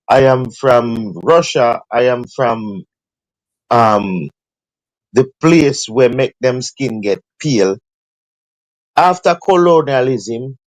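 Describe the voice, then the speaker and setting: Slow-talking Jamaican man. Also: mid-range voice, darkish tone, no room sound, poor audio. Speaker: panelist. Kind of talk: live panel discussion.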